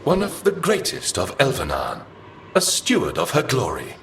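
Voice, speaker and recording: Echoing, pompous ancient spirit. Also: male voice, fairly low pitch, huge pitch swings, moderate pace, a bit bright, a little hiss or hum, heavily acted. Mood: reverent. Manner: condescending, pompous, formal. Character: haughty, scornful ancient male archive spirit. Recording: echoing voice with faint music.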